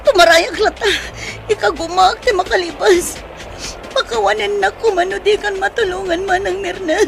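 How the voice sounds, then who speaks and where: A woman's voice: very high voice, steady tone, moderate pace, creaky, background noise. A woman playing a frightened, devoted younger rebel on the run in an emotional radio drama. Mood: anguished, desperate.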